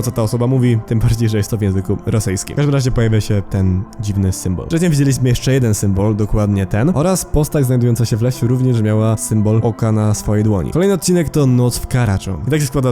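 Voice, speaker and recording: Male horror video narrator, mid-pitched and very soft-edged dark tone, scripted delivery with music underneath. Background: background music.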